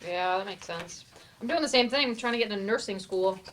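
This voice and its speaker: A woman's voice: mid-range voice, lively intonation, fairly quick, a little hiss or hum, lightly acted, low-quality recording. A woman in a staged play reading, playing a wry, teasing young student who hopes to go into nursing. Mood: casual.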